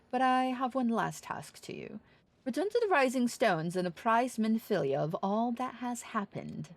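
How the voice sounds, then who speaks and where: A woman's voice: mid-range voice, creaky. A woman livestreaming a game, casually reading dialogue aloud and commenting in a weary, blunt way.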